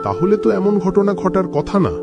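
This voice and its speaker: Seasoned guru for cartoon ghost tale, male actor, solemn, slightly high voice, clear music underneath. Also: big pitch swings, a little fast. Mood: puzzled. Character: seasoned male guru, grave spirit-reader. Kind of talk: cartoon voice acting.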